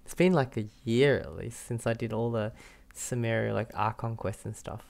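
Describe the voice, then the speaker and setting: Creaky-voiced young man streaming games. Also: mid-range voice, normal speed, a little mellow. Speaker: game streamer. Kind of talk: casual reactions while playing. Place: small room indoors, webcam setup with headset. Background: game music.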